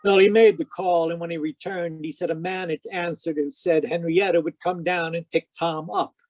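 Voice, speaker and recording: Man acting a wry, high-voiced private eye. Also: moderate pace, faint music behind, natural voice. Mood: wry narration. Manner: wry, deadpan. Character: wry hard-boiled private detective, Sam Spade. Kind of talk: old-time radio detective drama and narration. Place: live online performance over video call.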